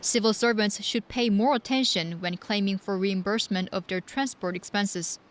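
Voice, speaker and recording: A woman of medium pitch reads a prepared news report. She narrates as a television reporter. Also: normal speed.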